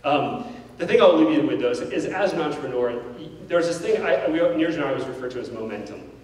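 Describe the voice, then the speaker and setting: Middle-aged man, keynote storyteller, rapid and candid, heard from far back in a big hall. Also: on the high side. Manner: animated, gestures while pacing the stage; candid, self-deprecating. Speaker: keynote speaker. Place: large indoor auditorium stage. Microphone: handheld mic.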